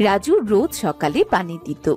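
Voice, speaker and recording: A woman's voice: medium pitch, big pitch swings, moderate pace, darkish tone. A woman narrating a scripted cartoon folk story, with music behind her.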